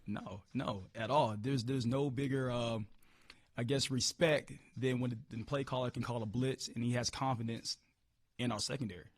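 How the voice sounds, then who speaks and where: A hoarse-voiced man coaching football, answering press questions upbeat, often repeating words as he restarts. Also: mid-range voice, steady tone, normal speed, dry recording. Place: media room.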